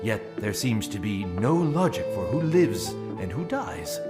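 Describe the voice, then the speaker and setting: Grave royal viceroy, sweeping intonation. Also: male voice, fairly low pitch, big pitch swings, measured pace. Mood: grave, respectful. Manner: formal, grave, ornate courtly delivery. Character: stern, formal royal viceroy, shrewd adviser. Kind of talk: audio drama acting. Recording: clear music behind the voice.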